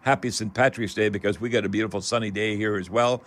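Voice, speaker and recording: A man's voice: fairly low pitch, steady tone, quick pace, a bit bright. A man hosting a live radio call-in show, chatting in a folksy way and often addressing the listeners directly.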